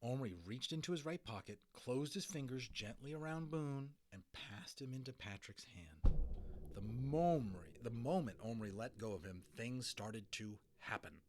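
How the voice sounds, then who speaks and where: A man narrating a children's audiobook in an expressive, dramatic storytelling manner. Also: mid-range voice, unhurried. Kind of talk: dramatic children's story reading with informal asides.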